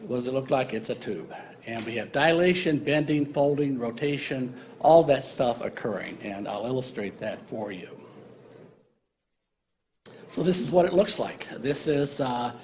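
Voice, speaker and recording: A man's voice: mid-range voice, a little mellow, slight background noise. A man giving a university embryology lecture in a casual, joking way, with many fillers. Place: lecture hall.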